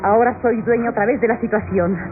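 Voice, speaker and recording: A high-pitched, menacing young villainess played by a woman in a classic radio melodrama. She gloats and mocks with cold, murderous madness. The sound is thin and tinny, with loud music underneath. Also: fairly even intonation, fast, lightly acted. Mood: gloating, menacing. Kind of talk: classic Latin American radionovela acting.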